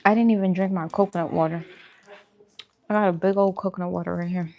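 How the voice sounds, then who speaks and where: A woman with a slightly low voice talks informally to her viewers. She is chatty and colloquial, in the manner of a casual eating livestream where she answers the chat as she goes. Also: steady tone, fast, vocal fry, warm tone, slight background noise. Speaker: vlogger hosting a mukbang livestream.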